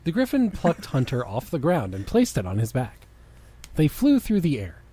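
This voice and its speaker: Male reader, slightly high pitch, lively intonation, sardonic casual banter, comic narration. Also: normal speed, breathy edge. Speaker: podcast host and reader.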